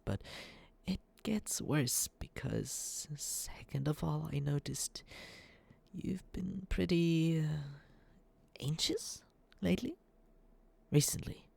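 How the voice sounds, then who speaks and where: A man playing a nervous, lovestruck young male stalker in an ASMR roleplay, breathy and halting, stammering earnestly as he softly reassures the listener. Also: fairly high pitch, animated, heavily acted. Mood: earnest, concerned. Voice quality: breathy, whispery. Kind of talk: ASMR roleplay, soft scripted monologue to the listener.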